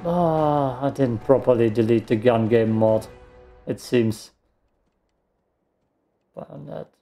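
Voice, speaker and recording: A man's voice: medium pitch, slow, vocal fry, warm tone, faint music behind. A man giving casual gameplay commentary, muttering to himself and given to swearing. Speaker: let's play streamer.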